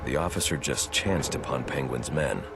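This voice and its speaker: A man's voice: very deep voice, normal speed, music in the background. A man playing a grim masked vigilante detective in a video game, terse, stern and brooding. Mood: grim, determined.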